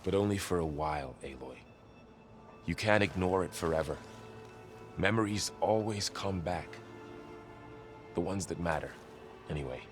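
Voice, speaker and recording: Deep-voiced, thoughtful warrior companion, played by a man. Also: normal speed, pauses often, faint music behind, lightly acted. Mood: subdued, reflective. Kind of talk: naturalistic video game acting.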